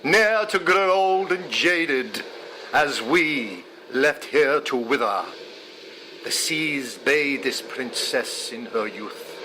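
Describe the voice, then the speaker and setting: A man reading poetry aloud in a solemn, measured, dramatic way. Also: medium pitch, lively intonation, background noise. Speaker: poetry reader. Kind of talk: expressive poetry recitation. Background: music.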